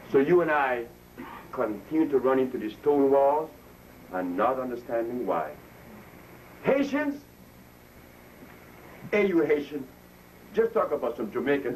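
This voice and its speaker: A man's voice: medium pitch, darkish tone. A man in his seventies giving an impassioned lecture to an audience, preaching and drawing call and response from listeners. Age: 70s. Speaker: speaker addressing an audience. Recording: distant mic, faint room noise.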